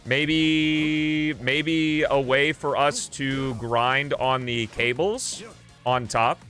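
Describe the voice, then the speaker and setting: A man streams a video game and talks through it casually. His voice sits at a middle pitch, and he rambles in an offhand way, thinking aloud. Faint game music plays behind him. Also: very slow, a bit bright. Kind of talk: casual live gameplay commentary.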